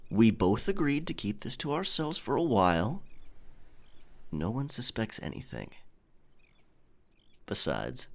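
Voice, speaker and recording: A man's voice: on the low side, creaky, full character voice. A man playing a roguish, affectionate pirate captain in an intimate, teasing romantic ASMR audio roleplay.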